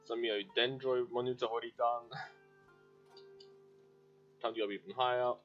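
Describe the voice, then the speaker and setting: A man's voice: medium pitch, little pitch movement, normal speed, soft background music. A man livestreaming a game, giving casual commentary as he plays. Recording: dull lo-fi mic.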